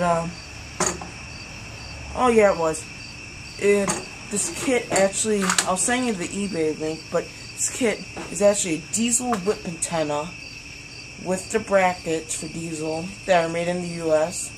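Young guy, noisy night recording. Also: a little high. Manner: rambling, slangy. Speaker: hobbyist vlogger. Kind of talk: casual product review chat. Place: outdoors at night by a garage. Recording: noisy outdoor recording with insects.

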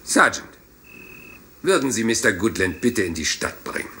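Casting: rumpled, apologetic detective inspector, low voice swinging widely, dogged courtesy, crime radio play. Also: male voice, big pitch swings, moderate pace, bright, forceful tone, a little hiss or hum. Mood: insistent. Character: rumpled, polite, dogged male police inspector.

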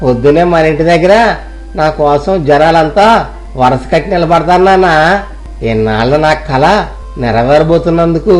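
Man as a young villager, proud and ambitious, boastful, slightly high voice, music behind. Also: moderate pace, a little mellow.

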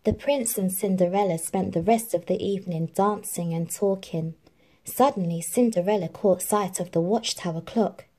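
A woman reading a children's storybook aloud in an expressive, animated narrating style. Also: medium pitch, moderate pace, slightly rough. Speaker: storybook reader.

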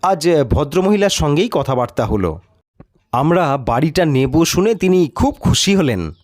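Audiobook narrator: man, deliberate first-person storytelling, highly animated melody, dry close sound. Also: dry recording.

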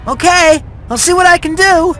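A woman's voice: very high-pitched, moderate pace, fairly bright tone. A woman voicing a plucky, polite young boy mouse in a video game, chipper and earnest. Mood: eager, helpful. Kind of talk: video game cutscene voice acting.

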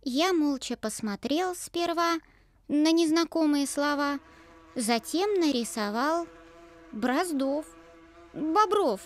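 A woman's voice: high-pitched, lively intonation, slow pace, no room sound. A woman voicing Petya, a naive first-grade boy who narrates and recites verse in a childlike, sing-song manner. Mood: earnest. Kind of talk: storybook narration and poem recital. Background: loud children's music.

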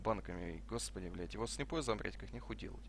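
A man's voice: lowish voice, fast. A young man in casual gaming voice chat, argumentative and swearing often. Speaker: team player in online shooter match.

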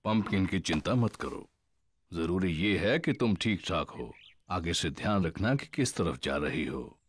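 An actor playing a cheerful, easygoing father pig in a cartoon dub, with a deep, chuckling voice. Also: lively intonation, measured pace. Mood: reassuring.

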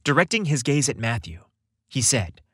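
Male narrator for story podcast. Animated read, dry studio-tight sound. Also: mid-range voice, moderate pace, slightly rough, dry recording.

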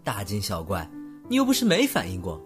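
A man's voice: fairly low pitch, huge pitch swings, fairly quick, faint music behind. A man acting an unflappable, blunt young man who counsels and teases a friend in a Mandarin radio drama, matter-of-fact in manner. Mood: teasing, dismissive. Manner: unfazed, matter-of-fact, teasing.

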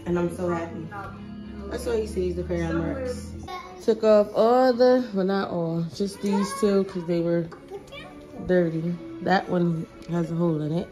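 A woman's voice: fairly low pitch, unhurried, over music. A young woman, a stay-at-home mom, chatting casually to her phone camera in her living room, rambling with lots of "um" and "like". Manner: rambling, chatty, many fillers like "um" and "like". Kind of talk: casual clean-with-me vlog. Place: apartment living room, indoors. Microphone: phone camera at arm's length.

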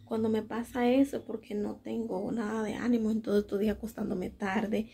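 A woman's voice: medium pitch, little pitch movement, fairly quick, gravelly, dark tone. A woman in her thirties chatting candidly to a handheld phone camera while doing chores at home, her throat dry and sore. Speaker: home vlogger. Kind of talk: casual chat to camera. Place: small home, indoors. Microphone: handheld phone camera at arm's length. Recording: music in the background.

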